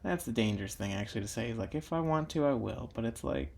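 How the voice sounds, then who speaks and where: A man's voice: medium pitch, animated, fast, creaky, a little mellow. A young man talking casually while drawing for his own video channel, meandering with many fillers and restarts. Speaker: drawing YouTuber.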